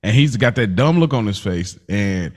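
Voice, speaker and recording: A man with a low-pitched voice hosts a casual podcast. He retells TV scenes in loose, slangy language, like chatting with friends. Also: lively intonation, normal speed. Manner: slangy, informal storytelling. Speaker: podcast host. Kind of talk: casual TV recap podcast chat.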